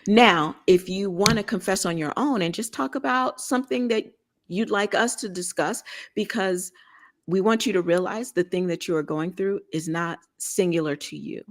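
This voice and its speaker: A woman's voice: mid-range voice, moderate pace. A woman with a Texas accent co-hosting a casual talk show, making announcements with joking asides. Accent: Texan. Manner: chatty, joking asides while giving announcements. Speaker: radio show co-host.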